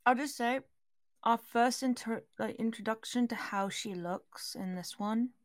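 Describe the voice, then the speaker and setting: A woman's voice: on the high side, a little slow, dry recording. A woman co-hosting a casual film chat, reacting in an informal, gushing way with plenty of swearing. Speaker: podcast co-host.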